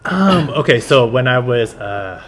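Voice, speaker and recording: A man's voice: medium pitch, expressive, measured pace, a bit bright, a little hiss or hum. A young man, a kickboxing instructor, talks casually as a podcast guest and hesitates with a lot of fillers. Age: young adult.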